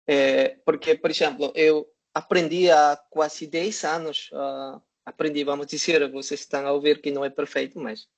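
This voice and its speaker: Gravelly Peruvian man speaking careful Portuguese. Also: a little high, little pitch movement. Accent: Spanish-speaking Peruvian, in Portuguese. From Peru. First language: Spanish. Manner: halting, many filler "eh"s. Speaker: guest student. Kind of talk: online panel chat. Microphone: video call. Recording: dull lo-fi online call audio.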